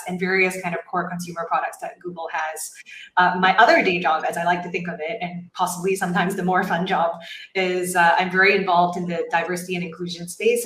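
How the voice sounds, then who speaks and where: A woman's voice: lowish voice, a bit bright, roomy sound. A woman panelist, a managing director, introducing herself steadily with light fillers.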